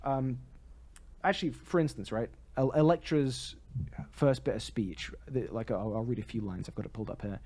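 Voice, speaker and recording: Mellow, opinionated male podcast co-host. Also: medium pitch, normal speed. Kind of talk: casual discussion and commentary on theatre.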